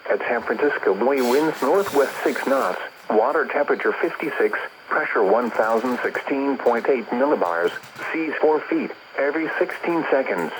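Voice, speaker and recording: A clearly noisy weather radio broadcast carries a synthetic male announcer with an American accent. He reads a bulletin at a medium pitch, in a formal and measured way, like an automated service. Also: lively intonation. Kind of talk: scripted weather bulletin reading.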